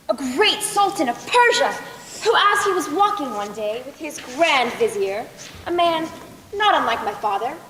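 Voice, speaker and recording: A woman's voice: high-pitched, a bit bright. A young teen girl narrating a scripted folk tale as storyteller on a school stage. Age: young teen middle schooler. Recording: echoey hall with faint noise.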